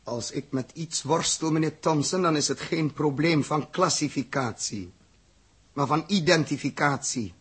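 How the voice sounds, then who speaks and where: An actor playing a blunt, intense male spaceship captain in a 1960s radio play, emphatic and deliberate. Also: on the high side, slightly rough. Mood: grave, determined.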